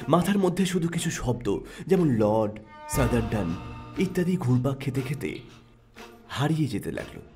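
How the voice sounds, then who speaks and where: A man narrating an audio story in an expressive, dramatic storytelling style. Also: mid-range voice, moderate pace, long pauses. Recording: narration over background music.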